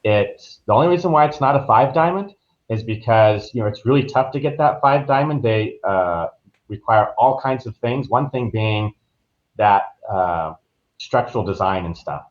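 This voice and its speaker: Low-voiced American man, dull lo-fi sound. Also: animated, moderate pace. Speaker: travel agent guest. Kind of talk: conversational podcast interview. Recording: dull, lo-fi online call audio.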